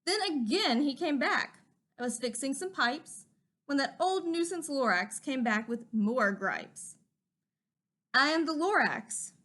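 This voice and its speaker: A woman with a high, bright voice reads a rhyming picture book aloud. She is a teacher and tells the story with expressive, bouncy rhythm. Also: a little slow.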